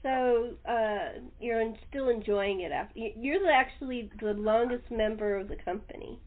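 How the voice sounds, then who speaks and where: An American woman from California hosting a casual interview chat, halting and often repeating her words. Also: fairly high pitch. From California, United States. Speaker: host. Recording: narrow phone-like call audio.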